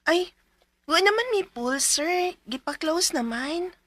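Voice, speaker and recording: A woman's voice: very high-pitched, expressive, slow pace, music in the background, heavily acted. An actress playing a hesitant female front desk clerk in a radio drama. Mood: sheepish. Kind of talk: Philippine radio drama acting.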